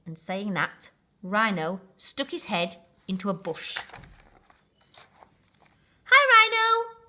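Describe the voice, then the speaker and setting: School storyteller, female: unhurried children's bedtime reading, bright clear-cut tone, highly expressive intonation.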